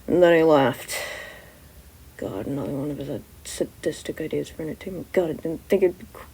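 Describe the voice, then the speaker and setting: A woman voicing an exhausted, irritable male hero teacher in a gruff, blunt, deadpan way for an audio story. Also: low-pitched, fairly quick, slight background noise, voice pushed down, poor audio. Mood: weary, muttering.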